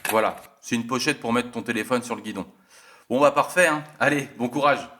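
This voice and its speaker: A man acts out an easygoing male coworker at a delivery depot in an audio story. The voice is strikingly bright and sharp, and the delivery is casual and colloquial, as in natural dialogue. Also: medium pitch, a little fast, close to an everyday voice. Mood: casual, helpful. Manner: offhand, colloquial.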